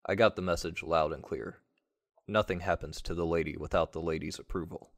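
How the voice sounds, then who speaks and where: A man's voice: low voice, steady tone, brisk. A man narrating a scripted first-person story in a wry manner.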